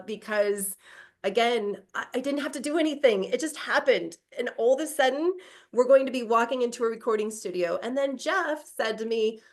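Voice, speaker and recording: A woman telling a heartfelt personal story over a video call, rambling, with many fillers and restarts. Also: fairly high pitch. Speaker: guest singer.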